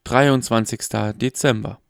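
A man's voice: medium pitch, fairly even intonation, unhurried, creaky, over music. A man giving terse scripted announcements as the narrator between scenes. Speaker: announcer of dates.

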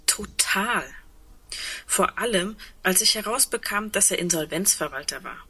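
An actress playing a wry, resigned, reflective woman in a radio drama, with a husky voice and dry humor. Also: medium pitch, animated, unhurried, bright, forceful tone, full character voice. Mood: dryly amused. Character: tall, wry, resigned woman insolvency administrator facing doomsday. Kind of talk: radio drama dialogue acting.

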